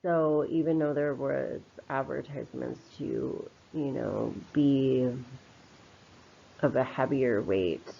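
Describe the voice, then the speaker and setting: A woman's voice: very deep voice, slow pace, gravelly, warm tone, breathy edge. A woman giving an informal spoken commentary in a reflective, opinionated, conversational manner. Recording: slightly dull, lo-fi voice recording.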